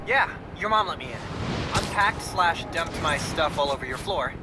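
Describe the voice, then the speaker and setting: An actor playing an excitable, nerdy teenage best friend in a video game, chatty and slangy. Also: male voice, on the high side, normal speed, background noise, natural voice. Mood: chatty, excited. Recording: game audio under loud music.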